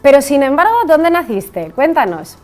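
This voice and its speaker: A woman with a Spanish accent hosts an interview in a lively, engaging way. Her voice sits fairly high, and she keeps the conversation moving. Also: moderate pace. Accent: Spanish from Spain. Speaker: show host. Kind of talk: hosting an interview.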